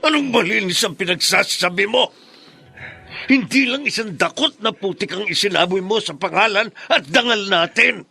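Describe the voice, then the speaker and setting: Raspy, wrathful Filipino father. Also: high voice, moderate pace, pushed and bright, full character voice. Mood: outraged, bitter. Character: wrathful, wounded father scolding his grown son. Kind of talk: Filipino radio drama acting.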